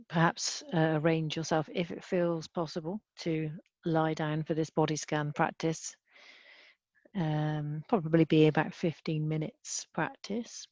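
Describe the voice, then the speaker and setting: A woman leading a guided body scan meditation as a mindfulness teacher, speaking gently and unhurriedly with long pauses. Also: low voice, dry recording. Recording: dull, muffled lo-fi sound.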